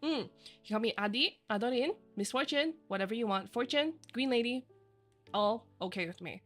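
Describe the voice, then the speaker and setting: A woman whose first language is Chinese, chatting casually with her viewers on a live stream, bubbly and quick to giggle. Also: on the high side, expressive, faint music behind. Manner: bubbly and giggly, laughs often; chatty, rambling asides.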